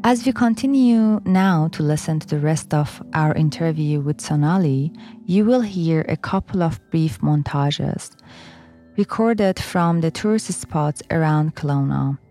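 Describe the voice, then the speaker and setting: A woman narrating a scripted academic audio documentary, measured and reflective, posing questions to the listener. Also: low voice, warm tone, faint music behind.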